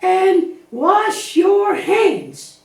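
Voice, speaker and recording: An echoey, distant recording of a tiny lamb puppet with an extremely high voice. The little creature is naive and anxious, chattering earnestly and repeating itself as in a children's puppet show. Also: female voice, animated, drawn-out words, full character voice. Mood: relieved, earnest. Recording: echoey room, distant microphone.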